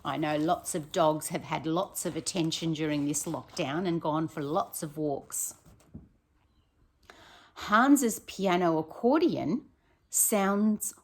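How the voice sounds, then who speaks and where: A woman, a primary teacher reading a picture book aloud to young children, expressive and encouraging, with chatty questions to her listeners. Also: sits low, slow pace.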